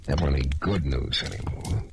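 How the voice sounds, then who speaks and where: A man's voice: very deep voice, normal speed, vocal fry, noisy background. A man playing a reckless, sardonic private detective in 1940s radio drama style, on an old transcription recording. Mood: puzzled, dry. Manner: sardonic, colloquial, hard-boiled. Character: wry, reckless hard-boiled male private detective.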